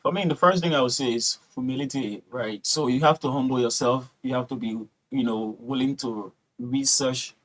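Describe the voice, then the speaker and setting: A man's voice: medium pitch, normal speed, hardly any pauses, fairly bright tone, low-quality recording. A man answering interview questions as a filmmaker, thoughtful and often saying "you know". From Liberia. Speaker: filmmaker guest.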